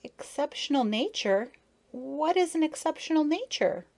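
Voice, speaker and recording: A woman puts on a small girl bee from a children's storybook, pitched high. She sounds childlike and curious, artless and a little forward. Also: animated, moderate pace, creaky, a little mellow. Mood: shy, curious. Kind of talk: children's storybook character reading.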